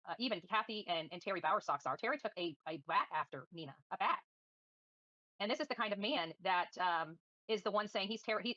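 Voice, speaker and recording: A woman's voice: mid-range voice, pushed and bright, dry recording, muffled recording. A woman livestreaming commentary and answering viewers in a combative, defiant, scornful manner. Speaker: livestream host.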